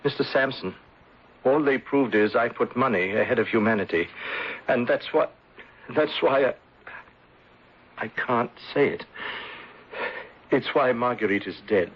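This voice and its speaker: An actor playing an anguished former insurance agent in a radio drama, with a husky voice and a halting, earnest, melodramatic delivery. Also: male voice, medium pitch. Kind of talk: 1970s radio drama acting. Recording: dull lo-fi old radio broadcast.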